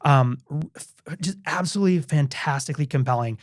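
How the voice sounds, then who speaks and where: A middle-aged man co-hosting a podcast, talking in a casual, animated way with frequent restarts. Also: on the high side, a little fast, no echo, studio quality. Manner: animated, chatty, restarts often. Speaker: podcast co-host. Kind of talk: casual chat.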